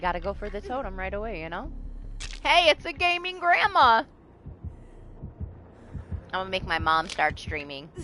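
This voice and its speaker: Chatty woman streaming, bright voice. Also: mid-range voice, sing-song, moderate pace, pauses often, slight background noise. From Texas. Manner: chatty, laughs often, swears casually. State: starting to lose her voice. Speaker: game streamer. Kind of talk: casual live-stream chat while playing.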